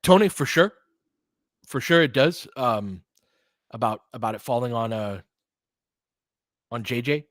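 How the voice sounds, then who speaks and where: A man's voice: medium pitch, animated, unhurried, long pauses, a bit bright, dry recording, clean studio sound. A man hosting casual live basketball commentary and analysis, thinking aloud in a conversational way. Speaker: host, fan play-by-play commentator.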